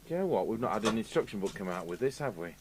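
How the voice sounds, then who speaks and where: Low-voiced English man musing at his workbench. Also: big pitch swings, rapid-fire. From United Kingdom. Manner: rambling, musing aloud to himself. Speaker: radio repair technician and video host. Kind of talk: casual workbench commentary. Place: indoor electronics repair workbench. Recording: clear background noise with electrical hum.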